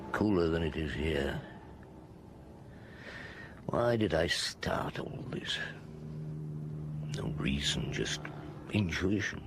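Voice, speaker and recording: An actor playing a weary older police chief inspector in a radio drama, muttering low to himself. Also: male voice, background noise, full character voice.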